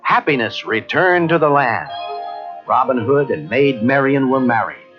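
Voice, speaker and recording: Animated male storybook narrator. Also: mid-range voice, unhurried. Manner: dramatic, expressive storytelling. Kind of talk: scripted children's read-along narration. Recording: muffled old cassette, music behind.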